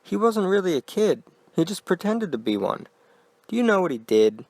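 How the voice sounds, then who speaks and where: Man doing a creepy mother, unnatural falsetto, high, dry close sound. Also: very animated, normal speed, darkish tone, no echo, heavily acted. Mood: eerie.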